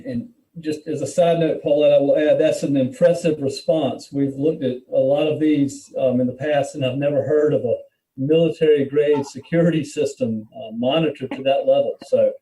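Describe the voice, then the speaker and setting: Male board chair, courteous and procedural, very dark tone, off-mic in an echoey room. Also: medium pitch, fairly even intonation, normal speed, recorded from a distance. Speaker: board chair running a hearing. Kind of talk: formal questioning at a public meeting.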